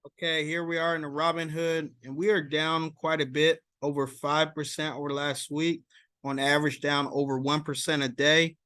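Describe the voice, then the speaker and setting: Guy doing casual stock market rundown, smooth clear voice, close dry sound, kinda halting. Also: on the high side, level tone, pushed and bright, no room sound. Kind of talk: informal screen-share market commentary.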